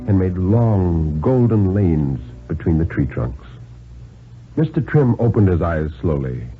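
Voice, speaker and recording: A man gives a theatrical, deliberate dramatic reading of a story in 1950s radio style, with expressive pauses. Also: fairly low pitch, narrow, tinny audio. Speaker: narrator reading a thriller story. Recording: band-limited vintage 1950s radio broadcast.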